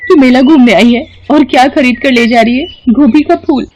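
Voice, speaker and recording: A woman's voice: high-pitched, normal speed, darkish tone, slight background noise, voice pushed up, close to an everyday voice, poor audio. Woman playing a mocking, chatty village girl. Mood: teasing. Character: boastful, teasing young village girlfriends. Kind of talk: animated moral story voice acting. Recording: music behind the voice.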